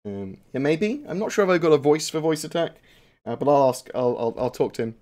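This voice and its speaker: A man's voice: on the high side, lively intonation, brisk, dark tone. A young man chatting casually on a live stream, answering viewer questions with lots of fillers, restarts and swearing.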